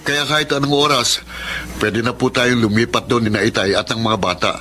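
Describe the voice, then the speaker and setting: An actor playing a respectful, earnest grown son in a classic radio drama. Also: male voice, mid-range voice, fairly even intonation, brisk, bright, forceful tone, a little hiss or hum, lightly acted. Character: dutiful grown son and family man. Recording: radio drama with music under it.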